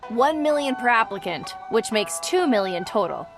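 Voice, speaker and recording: An actress voicing a brisk, grasping clerk in a video game, formal and matter-of-fact. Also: female voice, fairly high pitch, expressive. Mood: officious. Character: brisk, grasping female immigration clerk, coolly officious. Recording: music behind the voice.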